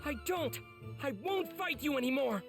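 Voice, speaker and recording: A man's voice: very high voice, lively intonation, moderate pace, gravelly, music in the background, exaggerated voice. An actor playing an earnest, kind-hearted teenage boy demon slayer in an anime game, sincere and polite. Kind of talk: dramatic anime game voice acting.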